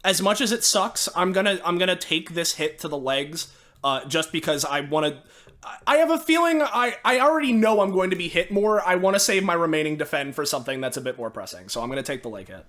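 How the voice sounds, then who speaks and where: High-voiced man playing a tabletop game. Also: animated, fast talker, fairly bright tone. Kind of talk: casual chat during a game. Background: faint music.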